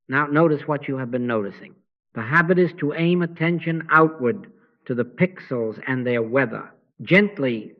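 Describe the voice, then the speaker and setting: Hushed man guiding a slow meditation. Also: mid-range voice, highs cut off. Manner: slow, hushed, gently instructive. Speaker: meditation guide.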